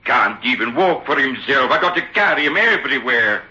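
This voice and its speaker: An actor playing an agitated Italian stage ventriloquist, complaining insistently in broken, Italian-accented English in a radio drama. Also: male voice, mid-range voice, animated, a little fast, pushed and bright. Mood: indignant. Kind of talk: old-time radio drama acting. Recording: dull old radio recording.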